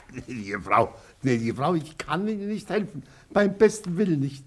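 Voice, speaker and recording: An actor playing a jovial, teasing medical officer in a German radio play, with an amused, exclamatory manner. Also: male voice, on the high side, sing-song, moderate pace, husky. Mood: amused refusal.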